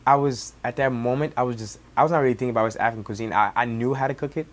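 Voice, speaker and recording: A young man in his twenties from Senegal with a Senegalese accent, Wolof his first language, telling his story as a cook in halting speech with many restarts. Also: mid-range voice, a little hiss or hum. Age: 20s. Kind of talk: casual personal storytelling.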